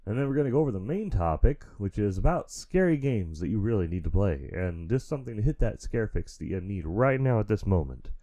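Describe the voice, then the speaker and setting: A man's voice: big pitch swings, fairly quick, few pauses, mellow. A man hosting his own show, rambling casually and frankly while feeling sick and stuffy, into a studio mic at home. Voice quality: naturally deep voice. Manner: rambling, frank, chuckles often. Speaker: podcast host. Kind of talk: casual solo chat. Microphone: studio mic through audio interface.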